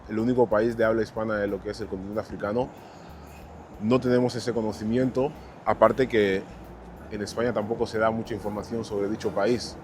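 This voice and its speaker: A man's voice: lowish voice, a little mellow, a little hiss or hum. A man speaks as a documentary interviewee, giving his opinion in a considered way. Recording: music under the voice.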